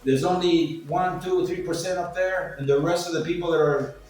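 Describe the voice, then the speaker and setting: A man's voice: a little high, fairly even intonation, moderate pace, darkish tone. Older man, very echoey, rambling storyteller. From Cuba. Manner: rambling, salty, acts out quoted remarks. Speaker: speaker telling personal stories. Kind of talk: casual anecdotal talk. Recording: echoey room, distant mic, faint music.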